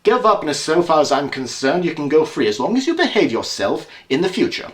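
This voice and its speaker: Male performer as confident teasing detective, audio drama, brisk and theatrical, very animated intonation. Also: a little high. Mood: reasoning, firm. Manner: quick, taunting, theatrical speech.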